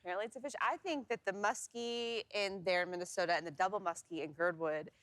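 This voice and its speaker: A woman's voice: mid-range voice, quick pace, a bit bright, no room sound. Female TV presenter, dry studio sound. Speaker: TV news co-anchor and weather presenter. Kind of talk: casual news banter and forecast.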